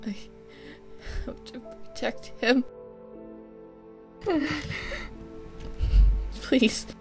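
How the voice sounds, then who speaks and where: A woman voicing an anxious, wounded young man who pleads desperately in halting stammers, in an amateur comic dub. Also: a little high, little pitch movement, creaky, faint music behind. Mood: anxious despair.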